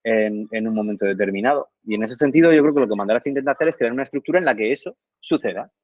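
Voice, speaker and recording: A man answering radio interview questions in a reflective way, often pausing with fillers and tag questions. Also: medium pitch, a little mellow, dry recording, lo-fi sound. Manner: thoughtful, frequent "eh" and tag questions. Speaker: guest, reading promoter.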